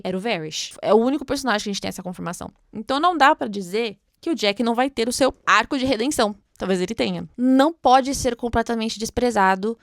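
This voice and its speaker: A woman's voice: mid-range voice, dry recording, studio quality. A woman with a Brazilian accent hosts a book read-along, commenting casually and thinking aloud in an informal, chatty way.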